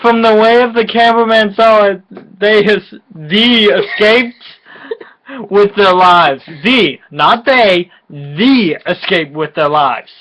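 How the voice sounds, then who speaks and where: A woman's voice: mid-range voice, slow pace, fairly bright tone, poor audio. A drunk woman doing a mock-dramatic amateur reading aloud, giggly and stumbling, with sweary casual asides. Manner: unpolished, giggly, stumbles and swears over the text. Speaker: amateur co-reader of a fan story.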